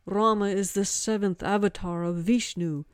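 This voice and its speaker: A woman's voice: mid-range voice, steady tone, moderate pace, smooth, dry recording, studio quality, lightly acted. A woman voicing an earnest, devout young man of twenty who speaks insistently.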